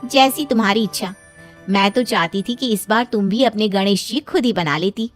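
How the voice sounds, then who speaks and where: A woman's voice: medium pitch, normal speed, faint music behind, lightly acted. A woman voicing a principled young female friend in a cartoon story, earnest and persuasive. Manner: earnest, explaining.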